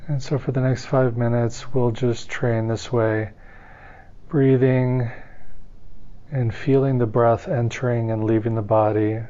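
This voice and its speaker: A man leading a guided meditation, giving calm, gentle, unhurried instructions as a teacher. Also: medium pitch, dull audio.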